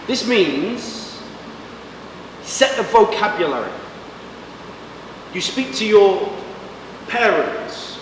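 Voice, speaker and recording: A man's voice: high voice, far from the mic. A man with a Manchester accent teaching English to a class, speaking in short, simple sentences and quizzing students. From England. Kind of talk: classroom lecture. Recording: echoey classroom recorded from afar with background hiss.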